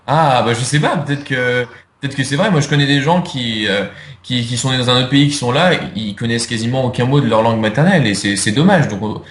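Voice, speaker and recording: A man's voice: mid-range voice, fast talker, a bit bright, roomy sound, a little hiss or hum. A young man answering interview questions in casual chat, hesitant, with many fillers and restarts. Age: young adult. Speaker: interviewee, video creator.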